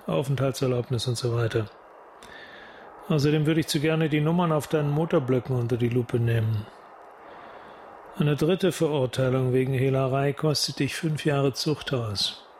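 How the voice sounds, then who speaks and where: An actor playing a hard, threatening police sergeant in a German radio crime drama, speaking curtly and deliberately. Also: male voice, a little high, a little hiss or hum, lightly acted. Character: hard, menacing male police sergeant leaning on informants.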